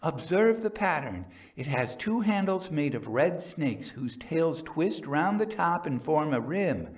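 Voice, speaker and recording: A man voicing an excitable, boyish gentleman in a Victorian ghost story, eager and exclamatory with jaunty old-fashioned phrasing. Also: fairly high pitch, unhurried, pitched above the performer's own voice. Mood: excited.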